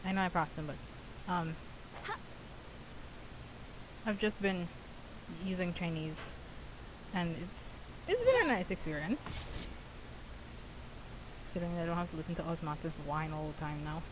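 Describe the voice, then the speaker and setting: A game streamer giving casual, chatty commentary, often halting and stammering over repeated words. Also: medium pitch, sing-song, background noise.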